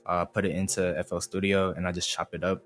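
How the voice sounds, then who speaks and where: A man's voice: sits low, level tone, quick pace, soft background music. A young man, a singer and producer born in Indonesia and raised in the US, chatting casually as an interview guest in a laid-back, slangy way. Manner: laid-back slangy talk, constant "you feel me". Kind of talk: casual chat.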